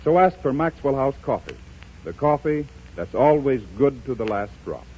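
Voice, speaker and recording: A man's voice: medium pitch, lively intonation, normal speed, warm tone, highs cut off, a little hiss or hum. An American man reading a scripted 1930s radio advertisement as announcer, earnest and emphatic like a salesman, on a vintage broadcast recording.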